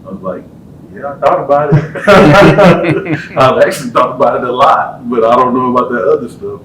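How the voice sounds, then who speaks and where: A man's voice: mid-range voice, normal speed, crowd noise. A man, the panel host, telling a loose, laughing story in casual chat at a college event. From Texas. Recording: echoey hall mic from a distance, hissy.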